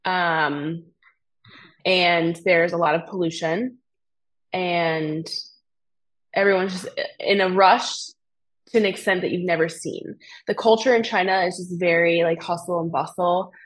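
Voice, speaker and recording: A woman's voice: fairly low pitch, fairly even intonation, a little slow. A young American woman chatting casually as a podcast guest and explaining things from her own life in a conversational way. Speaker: podcast guest, fitness coach.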